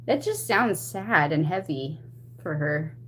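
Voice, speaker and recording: A woman chatting casually to stream viewers, retelling a personal story in an animated, expressive way. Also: sits low, takes it slowly, slight background noise. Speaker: livestream host.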